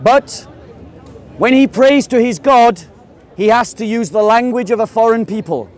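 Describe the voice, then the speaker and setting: A man from England debating outdoors in a park, pressing his point with rapid, insistent questions. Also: very high-pitched, some chatter behind. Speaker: street debater. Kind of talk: open-air debate.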